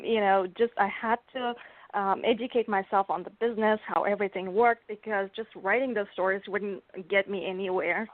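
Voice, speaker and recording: A woman from Bulgaria, a native Bulgarian speaker, chatting as a guest author in an interview, laughing often and filling gaps with ums. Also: medium pitch. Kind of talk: casual interview chat. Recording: dry tinny phone-like line.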